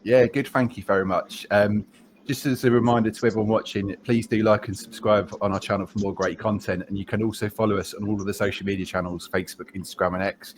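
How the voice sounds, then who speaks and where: A man's voice: lowish voice, steady tone, fast talker, noisy background. A man hosting a podcast conversationally, asking polite, rambling questions. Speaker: podcast host.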